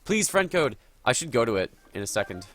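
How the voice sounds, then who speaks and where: A man's voice: mid-range voice, very animated, brisk, a bit bright. A man with a cold, sniffling a little, chatting and thinking aloud as he streams a game live. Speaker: gaming streamer.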